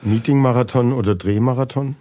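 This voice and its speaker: A male podcast host with a dark, relaxed voice at an average pitch. He puts brief quick-fire questions to his guest and keeps his own part concise and attentive.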